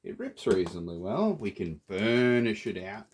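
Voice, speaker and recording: A man's voice: on the low side, very animated, normal speed, a little hiss or hum. A playful Australian man chatting to viewers as he builds a theatre prop. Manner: playful, chatty, talks to viewers while working. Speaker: prop maker. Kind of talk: casual workshop tutorial.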